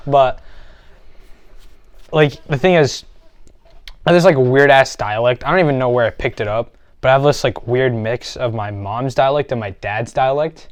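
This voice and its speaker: A young American man in easy podcast chat, lively and laughing often. His voice sits at an ordinary middle pitch, recorded dry and close with no room sound. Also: normal speed, pauses often, no echo. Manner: animated, laughs easily. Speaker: podcast co-host. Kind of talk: casual chat.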